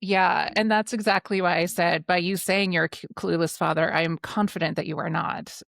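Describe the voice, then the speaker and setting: A woman in her forties from Canada, hosting a podcast with enthusiastic, animated storytelling. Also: on the low side, brisk, creaky, dry recording, studio quality. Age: 40s. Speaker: podcast host. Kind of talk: conversational interview.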